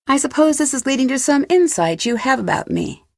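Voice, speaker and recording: A woman voicing a curious, determined young female detective in an adventure game, talking to herself in clear, purposeful scripted lines. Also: sits high, animated, fairly quick, dry recording, clean studio sound. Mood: conversational, probing. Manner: clear self-talk, inquisitive and purposeful. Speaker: game protagonist.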